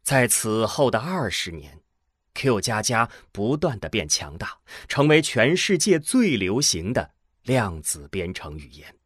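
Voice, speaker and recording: A man narrating a scripted science fiction audio drama in a dramatic storytelling manner, landing phrase endings deliberately. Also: lowish voice, sing-song, a bit bright, slightly rough, dry recording, studio quality. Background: music under the voice.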